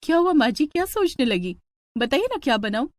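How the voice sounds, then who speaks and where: A woman's voice: high-pitched, sing-song, a little fast, no room sound, voice pushed up. A woman voicing a young daughter-in-law in a story, polite, plaintive and bewildered. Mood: surprised, polite.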